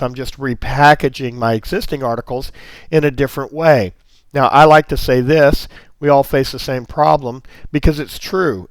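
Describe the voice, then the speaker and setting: A man's voice: medium pitch, dry recording. A man with a touch of laryngitis, walking viewers through his website in a friendly, step-by-step screen-recorded tutorial. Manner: friendly, explanatory, stepwise. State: slight laryngitis. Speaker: online marketing teacher.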